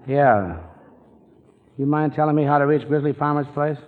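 A man's voice: on the high side, normal speed, close to an everyday voice. Man acting brusque sardonic stranger, tinny radio. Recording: tinny, reverberant 1940s radio recording.

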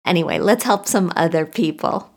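A woman's voice: lowish voice, animated, moderate pace, creaky. A woman co-hosting a podcast, chatting casually and reflectively with fillers and restarts. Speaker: podcast co-host. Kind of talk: casual personal chat.

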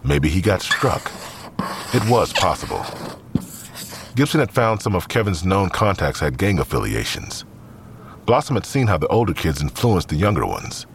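Serious male narrator for crime fiction: very low register, lively intonation, faint rumble. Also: normal speed. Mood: serious, narrating. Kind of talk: scripted crime story narration.

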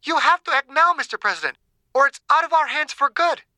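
A woman playing an urgent, desperate scientist in an audiobook drama, blunt and forceful in her delivery. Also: high voice, lively intonation, brisk, dry recording. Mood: desperate, insistent.

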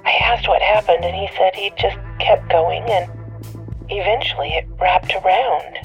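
A woman playing a bitter divorcee on a tipline, telling her story deliberately and resentfully in an audio drama. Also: medium pitch, natural voice. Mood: uneasy, resentful. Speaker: tipline caller. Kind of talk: dramatic monologue in audio fiction. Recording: dull lo-fi radio-like sound, music behind.

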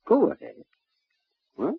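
An actor playing a smug, cold Venusian invader in a 1950s radio drama, speaking with precise, urbane condescension. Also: male voice, no echo. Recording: roomless, muffled vintage radio recording, fuzzy.

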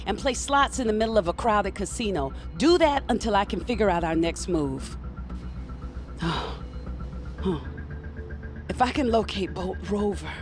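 An actress playing a worldly, protective ally who knows magic, speaking with deliberate, cautioning emphasis in an audio drama. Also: female voice, medium pitch, close to an everyday voice.

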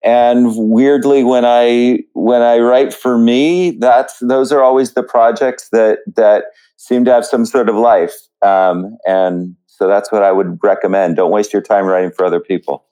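A man with a medium-pitched voice talks as an interview guest. He is casual and reflective, working out his thoughts aloud with halting phrasing. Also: fairly even intonation, mellow, smooth, poor audio. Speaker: podcast guest, writer.

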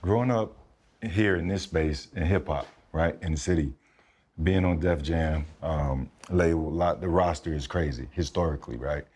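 A man co-hosting a podcast, asking a slow, halting question. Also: very deep voice, a little mellow, breathy edge. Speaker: podcast co-host. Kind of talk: casual interview question.

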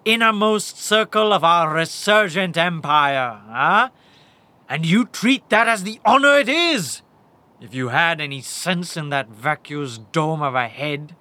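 An actor playing a volatile, sarcastic fallen ruler in an audio drama, with an imperious, mocking, theatrical delivery. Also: male voice, fairly high pitch, animated, unhurried, pushed and bright, dry recording. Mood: exasperated, imperious. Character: volatile, sarcastic fallen male ruler commanding last guardsmen.

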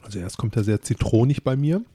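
A man's voice: mid-range voice, expressive, fast, mellow, husky, dry recording. A man co-hosting a podcast, chatting casually. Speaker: podcast co-host. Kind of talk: casual chat.

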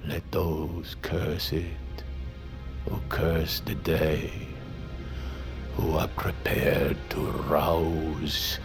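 An actor playing a grizzled older seafarer, brooding and theatrical, who warns fervently of monsters in an audio drama. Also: male voice, a bass voice, little pitch movement, vocal fry, exaggerated voice. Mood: dark, foreboding. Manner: slow, brooding, theatrical storytelling that swells to intense warnings. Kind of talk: audio fiction drama acting. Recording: dramatic music behind the voice.

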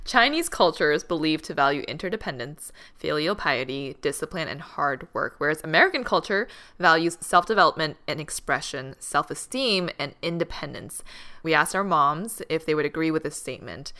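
A woman's voice: low-pitched, animated, normal speed, sharp tone. A woman hosting a casual podcast, reacting to her guests and reading questions aloud. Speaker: podcast host.

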